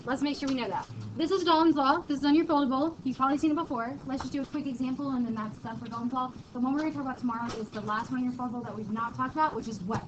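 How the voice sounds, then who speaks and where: A woman's voice: high-pitched, racing, few pauses. Female chemistry teacher, casual and joking, quizzes the class; dull, noisy room recording. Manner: chatty, joking, quizzes students. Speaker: high school chemistry teacher. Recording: muffled classroom recording, background noise.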